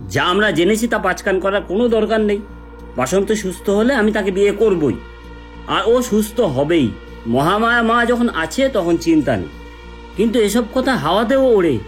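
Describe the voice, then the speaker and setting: High-pitched, insistent young village man, acted. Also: animated, normal speed, faint music behind. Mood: urgent, insistent. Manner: urgent, hushing, insistent. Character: determined young village man, protective lover. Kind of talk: horror audio drama acting.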